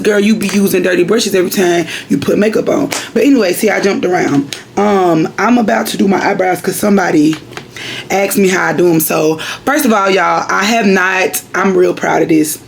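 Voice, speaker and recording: A woman's voice: mid-range voice, moderate pace, slight background noise. A woman, chatting at home at her vanity in a rambling, chatty way, speaking straight to her viewers. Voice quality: raspy. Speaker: vlogger. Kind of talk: casual chat to viewers.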